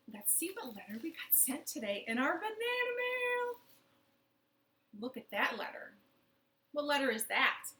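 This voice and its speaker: A woman's voice: high-pitched, fairly bright tone, roomy sound. A woman leading a children's storytime from her living room, playful and sing-song with rhymes and silly voices.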